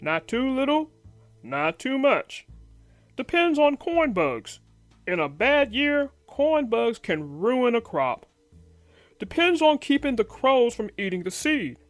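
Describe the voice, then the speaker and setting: Woman putting on a slow, gruff farmer bear. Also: fairly high pitch, very animated, no room sound, faint music behind. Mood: matter-of-fact. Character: gruff older male bear farmer, plain-spoken, practical. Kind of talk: children's storybook character voice.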